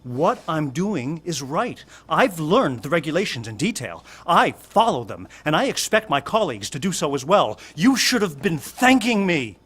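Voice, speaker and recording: An actor playing a prim, aggrieved rule-stickler turned blackmailer in an audio drama, formal, needling and self-righteous. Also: male voice, on the high side, big pitch swings, moderate pace, few pauses, a bit bright, slightly rough, exaggerated voice. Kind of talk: naturalistic audio drama acting.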